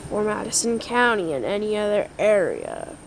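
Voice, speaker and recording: A woman performing an amateur scripted emergency roleplay, halting and often repeating phrases, with read-out announcements. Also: medium pitch, huge pitch swings, vocal fry, a little hiss or hum, rough recording. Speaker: creator performing a hobby emergency alert roleplay.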